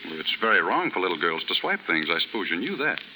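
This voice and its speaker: Paternal, gently correcting author, lively intonation, 1930s radio drama, long stage reverb. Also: male voice, sits low, a little hiss or hum, full character voice. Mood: fond, amused. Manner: measured, didactic, fond.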